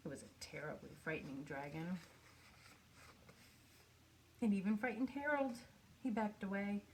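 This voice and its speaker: A faint background noise sits under a woman's voice as she reads a picture book aloud. Her pitch is mid-range for a woman. She tells the story expressively, pausing for effect as a storyteller does for young listeners. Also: sing-song. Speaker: storybook reader. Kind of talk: read-aloud children's picture book narration.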